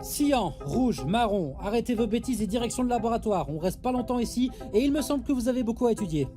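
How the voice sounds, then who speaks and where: A woman's voice: a little high, animated, fast, music in the background, close to an everyday voice. A woman playing a bossy, impatient spaceship captain giving commanding orders in an audio drama. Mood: brisk, commanding.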